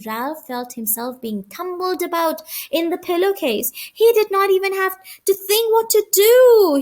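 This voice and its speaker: A woman reading a children's story aloud as an audiobook narrator, with expressive delivery. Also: sits high. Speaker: audiobook reader.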